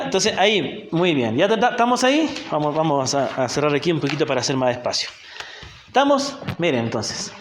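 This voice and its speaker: A Chilean man with a high voice leads a Bible class as a missionary teacher. He talks patiently, putting questions to his listeners and checking that they follow. His voice carries through an echoey church hall. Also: a bit bright, slight background noise. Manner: patient, asks the group questions, often checks with "¿ya?". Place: church hall in a rural community.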